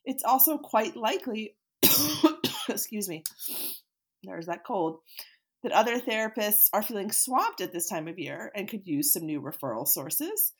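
A woman's voice: mid-range voice, unhurried, breathy edge. A woman around forty hosting her own podcast, talking casually and teaching, with a scratchy voice from a cold. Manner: chatty, animated, laughs easily, occasional fillers. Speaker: podcast host and therapist. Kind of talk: solo podcast talk, teaching.

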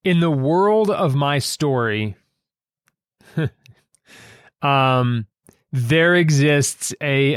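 A man hosting a podcast, talking reflectively about fiction in a thoughtful, halting way with pauses mid-sentence. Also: mid-range voice, clean tone, dry recording, studio quality. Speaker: podcast host and fiction writer. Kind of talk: reflective conversation about a story.

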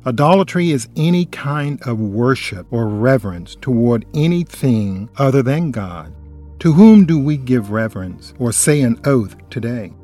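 A man with a mellow, darker tone reads a scripted Bible study as if on radio. His delivery is earnest and admonishing, pressing the listener with pointed questions. Soft music plays quietly underneath. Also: mid-range voice, very animated, unhurried. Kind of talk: scripted religious narration.

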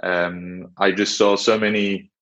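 A man's voice: deep voice, flat delivery, slow pace, a bit bright, muffled recording. A half-Swiss, half-British man with a Swiss-British accent, speaking as an interview guest in a thoughtful way, with many fillers. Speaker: guest, engineering director. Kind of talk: reflective interview talk.

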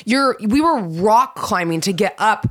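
A woman with a raspy, under-the-weather voice, telling a lively story as a casual podcast co-host. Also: medium pitch, unhurried. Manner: animated storytelling, laughs often. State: raspy from illness. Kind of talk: casual chat.

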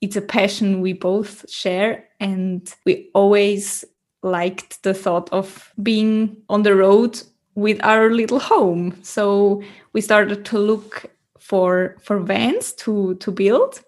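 Casual interview guest: woman, very unhurried, searching for words. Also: mid-range voice, steady tone, darkish tone. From Switzerland. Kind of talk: casual interview talk in a second language.

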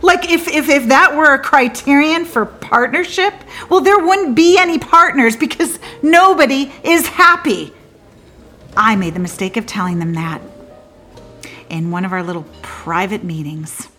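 An actress playing a disillusioned woman lawyer in a theatrical monologue, confiding in a halting, wry and bitter way with abrupt swings. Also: sits high, bright, forceful tone. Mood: bitterly incredulous.

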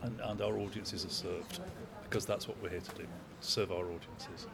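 A man's voice: low-pitched, fairly even intonation, normal speed, creaky. Man in his sixties, crowd behind. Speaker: opera company manager. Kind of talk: interview. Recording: crowd chatter in background.

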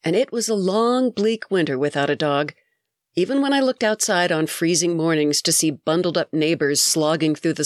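A middle-aged woman hosting her own show, sounding stuffy from a cold as she reads a reflective, gently wry personal story. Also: low-pitched, dry recording, clean studio sound. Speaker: podcast host. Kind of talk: reading a personal essay.

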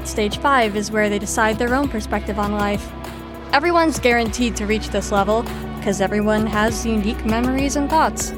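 A woman's voice: mid-range voice, moderate pace, music in the background, lightly acted. An actress in an audio drama playing an exasperated, sardonic young woman who leads a group lesson in a weary, lecturing manner. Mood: dry, lecturing.

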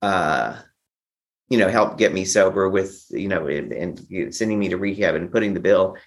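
Low male voice, interview guest, personal storytelling, stop-start phrasing, repeats himself. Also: animated. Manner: halting, repeats and restarts phrases.

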